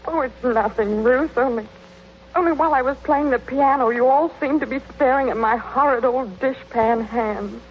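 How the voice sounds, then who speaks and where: An actress playing a self-pitying, tearful young newlywed in an old radio advertisement. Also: female voice, high voice, moderate pace, close to an everyday voice. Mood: upset. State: upset, tearful. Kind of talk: 1930s-40s radio advertisement acting. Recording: muffled old radio recording with noise.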